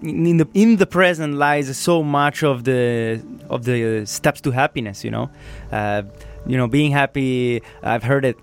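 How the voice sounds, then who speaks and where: A man hosting an interview, enthusiastic, asking long halting questions. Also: medium pitch. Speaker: podcast host.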